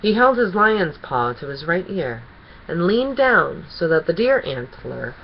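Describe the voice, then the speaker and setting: Animated woman storyteller, slightly dull recording. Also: lowish voice, measured pace, vocal fry, a little hiss or hum. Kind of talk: expressive children's story narration.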